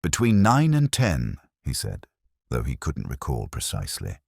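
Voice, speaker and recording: A man reading a scripted first-person detective story in a measured, literary, brooding way. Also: extremely low pitch, wildly swooping pitch, creaky, husky, dry recording, clean studio sound. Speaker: narrator.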